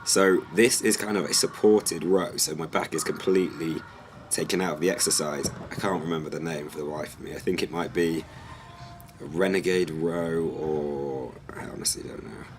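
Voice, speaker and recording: A hoarse young man, losing his voice, talks through his workout in a relaxed, rambling vlog style. His voice sits extremely low, and a faint hum of background noise runs under it. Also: normal speed. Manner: explanatory, relaxed, slightly rambling. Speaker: fitness vlogger. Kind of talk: casual vlog narration.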